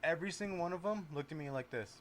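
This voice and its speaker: A man's voice: fairly high pitch, lively intonation, fast, a little hiss or hum. A man hosting a podcast, chatting casually and joking, often repeating himself. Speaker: podcast host. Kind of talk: casual chat.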